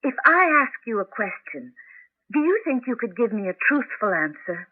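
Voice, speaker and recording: Suspicious, pleading wife, highish voice with dramatic swings, husky, tinny old radio play. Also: female voice, big pitch swings, moderate pace, no room sound. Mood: anxious, insistent. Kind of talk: 1980s radio drama acting.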